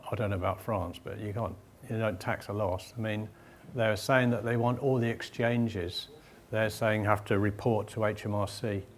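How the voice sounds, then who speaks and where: A man's voice: on the low side, fairly even intonation, normal speed, slight background noise. A man, an accountant, answering audience questions at a seminar in a direct, plain-spoken way. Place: seminar room.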